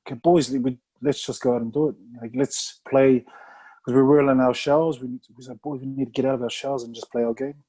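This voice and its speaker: A man, a Samoan speaker, chatting casually as a rugby player guest in a relaxed, rambling way. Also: medium pitch, fairly quick, husky, rough recording. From New Zealand. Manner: relaxed, rambling, full of "mate" and "bro". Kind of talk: casual podcast interview.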